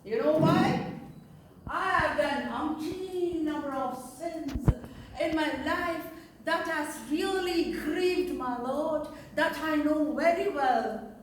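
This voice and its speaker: A woman acts out a lonely outcast sinner woman in a stage monologue, very high-pitched and pleading. She speaks slowly and theatrically, her voice ringing through a very echoey room. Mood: confessional. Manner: theatrical, emotional, emphatic pauses and rhetorical questions. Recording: echoey room, distant mic, faint noise.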